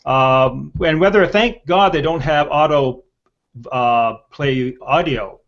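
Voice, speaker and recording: A man's voice: a little high, slow pace, a bit bright. A man hosting a casual online panel discussion, moderating and reading out comments over a video call. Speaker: host. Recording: dull lo-fi video call audio.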